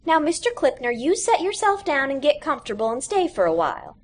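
Woman playing folksy high-voiced storybook mother. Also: big pitch swings, brisk. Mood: welcoming. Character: homebound blind mother, folksy, hospitable, affectionate.

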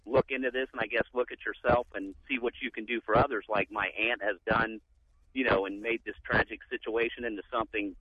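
A man calling in to a radio show, speaking haltingly with many fillers. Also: lowish voice, no echo, lo-fi sound. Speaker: caller. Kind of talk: call-in radio talk.

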